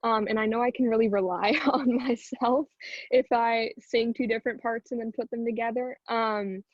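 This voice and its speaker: Young American woman, higher voice, roomy sound. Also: fairly even intonation, normal speed, highs cut off. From Houston, United States. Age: late teens. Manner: informal, chatty, frequent "like". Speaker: singer and narrator being interviewed. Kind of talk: casual chat.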